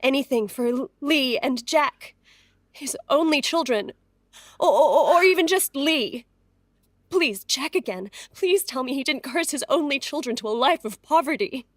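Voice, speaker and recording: A distraught young-sounding mistress and devoted mother, high-voiced and slow. She pleads earnestly and haltingly in a period audio drama, recorded dry. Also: animated, no echo. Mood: desperate.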